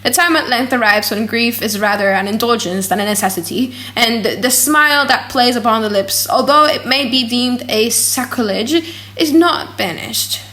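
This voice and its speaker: Casting: female audiobook narrator, higher voice, expressive and theatrical delivery for classic gothic fiction. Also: normal speed. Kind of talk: dramatic, slightly silly audiobook reading of a gothic novel.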